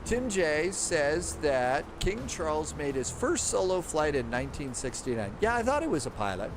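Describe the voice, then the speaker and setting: A man's voice: on the high side, sing-song, moderate pace. Man chatting casually over music. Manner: rambling, thinks aloud with restarts. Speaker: livestream host. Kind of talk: casual live-stream chat reading viewer comments. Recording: clear background music.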